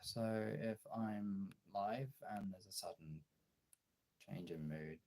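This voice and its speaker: Male streamer, gravelly and raspy, confessional off-the-cuff delivery with frequent pauses and casual swearing. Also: deep voice, dark tone. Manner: halting, candid, swearing. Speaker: live streamer and voice actor. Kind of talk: casual confessional livestream chat. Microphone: phone.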